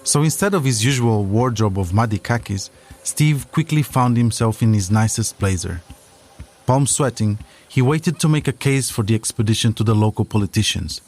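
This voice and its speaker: A man's voice: fairly low pitch, lively intonation, moderate pace, darkish tone. A man narrating a scripted audio documentary as its host.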